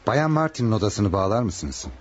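An actor playing a courteous, worried hospital patient and husband in a radio play. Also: male voice, on the low side, sing-song, normal speed, a little hiss or hum. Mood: worried, polite. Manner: polite, concerned. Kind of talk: Turkish radio theatre acting.

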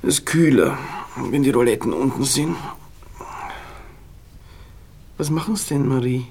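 An actor playing a nervous, well-off young gentleman with a Viennese accent, halting and distracted, in a radio play. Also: medium pitch, a little hiss or hum, close to an everyday voice. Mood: flustered. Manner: halting, distracted, faintly bossy.

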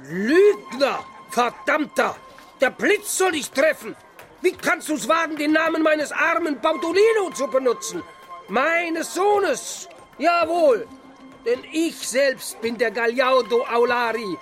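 A high-pitched, ranting old peasant father. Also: expressive, takes it slowly, fairly bright tone, soft background music, exaggerated voice. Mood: outraged. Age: older. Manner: ranting, scolding. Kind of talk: German radio play acting.

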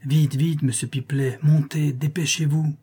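A man's voice: a little high, moderate pace, warm tone, breathy edge, voice pushed up. A man voicing an unknown storybook prankster who calls down from upstairs in a piercing, pressing voice. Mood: urgent, pressing.

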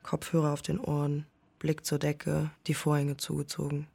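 Anxious devoted girlfriend, slightly low voice, gentle, placating, hesitant, naturalistic audio drama, faint noise. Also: monotone, normal speed, gravelly. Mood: soft, concerned. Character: devoted, anxious young woman podcaster, soothing her troubled girlfriend. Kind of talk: naturalistic German audio drama with inner narration.

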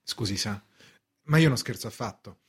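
An actor playing a timid, apologetic clerk in a radio play, hesitant and stammering, with sudden bursts of bravado. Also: male voice, mid-range voice, lively intonation, moderate pace, husky. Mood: hesitant, uneasy. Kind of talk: theatrical radio drama acting.

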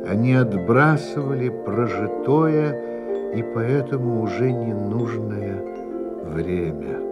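An actor narrating a radio play as a man looking back on his life, in a slow, reflective manner that falls to a hush at the ends of phrases. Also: on the low side, muffled recording. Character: adult man recalling his youth, reflective and grave. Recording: studio narration over music. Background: music score.

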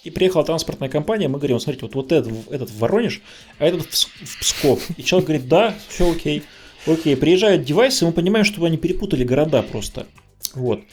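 A man's voice: fairly high pitch, animated, fast talker, soft background music. A man in a casual tech discussion, talking informally like someone telling stories from work. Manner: informal, storytelling. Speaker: podcast guest.

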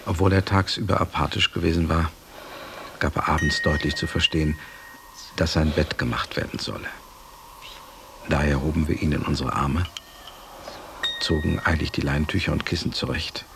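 A sober companion telling a solemn radio story. The voice is low and creaky, with a grave, measured delivery. A faint noise sits behind it. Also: male voice, steady tone. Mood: grave, restrained. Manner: measured, grave, hushed at phrase ends. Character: sober male companion recounting a master's death. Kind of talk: literary radio play narration.

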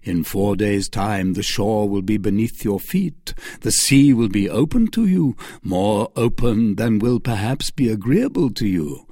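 A narrator plays a stern baron of a classic novel, scornful and unforgiving. His speech is slow and forceful, with sweeping changes of pitch. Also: lively intonation, no room sound, clean studio sound. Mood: cold menace.

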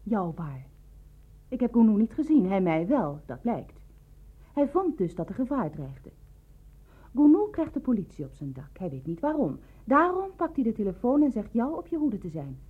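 An actress playing a determined, pointed woman facing a rival in a Dutch radio play, with a husky voice. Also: medium pitch, big pitch swings, moderate pace. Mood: accusing. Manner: pointed, insinuating. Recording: narrow, muffled old radio recording.

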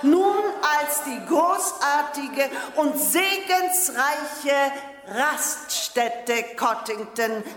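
A pompous lady dignitary speaks high and slowly, halting and repeating herself grandly. It is a condescending ceremonial address from a radio play, with long echoes ringing around her over a crowd. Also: fairly bright tone, exaggerated voice. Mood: pompous, self-satisfied. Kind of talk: theatrical public speech in a radio play. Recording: echoing public-address hall sound with crowd.

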